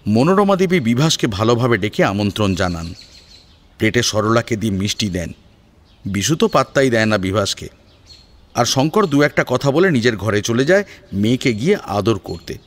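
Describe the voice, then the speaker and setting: A man's voice: mid-range voice, very animated, moderate pace. A man reading a scripted story as a dramatic narrator. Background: background music.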